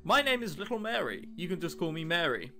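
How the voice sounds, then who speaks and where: Man reading as friendly female ghost, lively pitch. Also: fairly quick, over music. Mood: gently reassuring. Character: friendly female shadow ghost, reassuring fellow train passenger. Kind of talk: visual novel dialogue reading.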